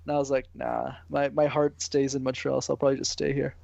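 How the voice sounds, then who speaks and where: A man from Canada answering interview questions casually and relaxed, using mild slang. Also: mid-range voice, quick pace, vocal fry, dry recording, lo-fi sound. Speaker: guest, public speaking coach.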